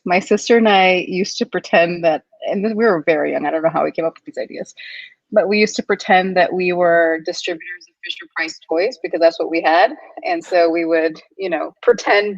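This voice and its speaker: A woman's voice: medium pitch, dry recording. A woman from Kuwait, a company founder, chatting in an interview in a thoughtful, upbeat way with many ums and you-knows. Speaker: company founder and CEO, guest. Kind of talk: casual interview conversation. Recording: dull, dry lo-fi sound.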